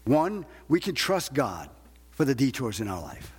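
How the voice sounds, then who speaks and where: A man's voice: mid-range voice, wildly swooping pitch, unhurried, husky. A man preaching a sermon to a congregation as a preacher, emphatic, repeating phrases and asking rhetorical questions. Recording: long hall reverb.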